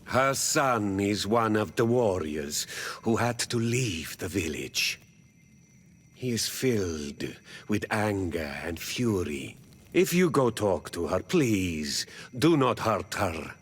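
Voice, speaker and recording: A man playing a weary, peace-loving village leader in a video game, grave, thoughtful and husky, speaking solemnly and deliberately. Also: fairly low pitch, sing-song, exaggerated voice. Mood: sorrowful, pleading. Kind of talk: video game dialogue acting.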